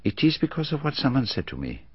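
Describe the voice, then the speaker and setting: An actor playing a fastidious detective, asking formal, polite questions in a radio drama. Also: male voice, mid-range voice, huge pitch swings, breathy edge, dry recording. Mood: courteous. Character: fastidious middle-aged male detective, probing. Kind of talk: BBC radio drama acting. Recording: dull archival radio recording.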